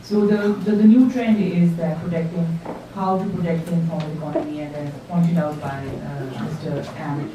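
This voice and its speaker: Woman from Pakistan, low voice, explaining steadily, dull distant recording, room noise. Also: fairly even intonation, normal speed, few pauses, very echoey. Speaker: workshop presenter. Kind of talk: presenting her paper in a discussion.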